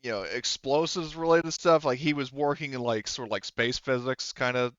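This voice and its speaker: A man working as game master, narrating a tabletop game in a casual, improvised, halting way. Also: mid-range voice, a bit bright, clean tone, dry recording, lo-fi sound. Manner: halting, conversational.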